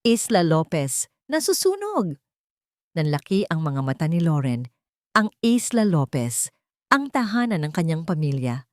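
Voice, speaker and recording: A woman's voice: low-pitched, big pitch swings, normal speed, no room sound, clean studio sound. A woman narrator reading a scripted melodrama as an audiobook, with dramatic storytelling delivery.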